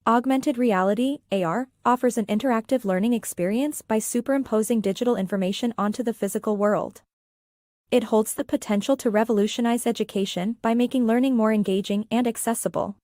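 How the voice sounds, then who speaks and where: A woman reading a scripted practice passage aloud, formal and measured. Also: on the high side, a little mellow, no echo, clean studio sound. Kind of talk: scripted read-aloud narration.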